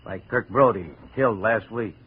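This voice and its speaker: A bitter, worried steelworker. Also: male voice, lowish voice, normal speed, slightly rough. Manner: bitter, world-weary. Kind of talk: 1950s radio drama acting. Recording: tinny old radio broadcast.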